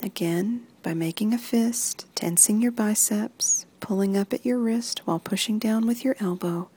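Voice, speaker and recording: A woman reading a guided muscle relaxation script in soft, even instructions that often sink into a whisper. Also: mid-range voice, moderate pace, slightly rough.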